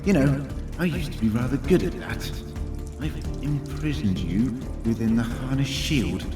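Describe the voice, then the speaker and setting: A man playing a very old time-travelling hero in an audio drama, wry, measured and authoritative. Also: mid-range voice, expressive, natural voice. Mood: wry, triumphant. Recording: roomy, distant, with music behind.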